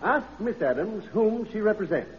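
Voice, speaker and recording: High-pitched, officious male chairman. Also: lively intonation, moderate pace. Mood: formal. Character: officious, formal male chairman of a businessmen's meeting. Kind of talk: vintage radio drama acting. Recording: dull, noisy old recording, reverberant hall.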